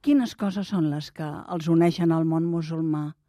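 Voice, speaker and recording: A woman's voice: sits low, unhurried, dark tone, no room sound, studio quality. A woman interviewer asking direct, probing questions.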